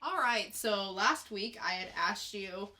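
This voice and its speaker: A woman's voice: medium pitch, animated, takes it slowly, very noisy. A woman co-hosting a podcast, telling stories in casual conversational banter. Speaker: podcast co-host.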